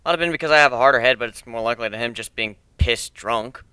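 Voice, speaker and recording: Bullied teen nerd narrator male reader: sardonic, bitter, punchy emphasis, clean sharp voice, dry close recording, dramatic story reading. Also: mid-range voice, fast, smooth, dry recording, natural voice. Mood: cocky.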